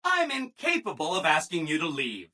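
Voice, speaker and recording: A bright, forceful robot helper, relentlessly cheerful and servile. The voice swoops up and down with chirpy, exaggerated enthusiasm and sits a little high, in polished video game dialogue style. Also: male voice, huge pitch swings, normal speed, exaggerated voice. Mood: perky, helpful.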